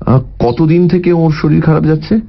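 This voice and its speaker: An actor playing a polite, probing private detective in an audio story, with courteous, measured questions. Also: male voice, on the high side, vocal fry, lo-fi sound.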